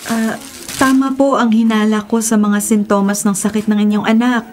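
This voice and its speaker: An actress playing a gentle, forgiving woman doctor with a polite, measured manner in a Filipino radio drama. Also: fairly high pitch, level tone, natural voice. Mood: grave.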